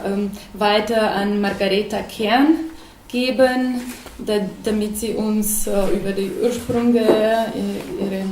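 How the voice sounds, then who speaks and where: A woman opening a panel as moderator, halting with many fillers. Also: medium pitch, darkish tone. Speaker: event moderator. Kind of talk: formal welcome at a panel. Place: gallery room. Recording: roomy gallery with faint noise.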